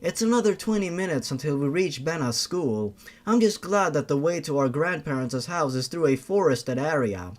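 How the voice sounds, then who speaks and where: A man's voice: on the high side, animated, moderate pace, few pauses, lightly acted. A man voicing a polite, composed young woman with a vengeful streak, in an audio horror story. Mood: relieved.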